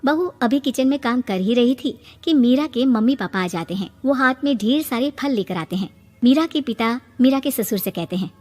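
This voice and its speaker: A woman's voice: on the high side, expressive, moderate pace, a little mellow. A woman narrating a scripted family story as a dramatic storyteller. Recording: long reverb, music behind.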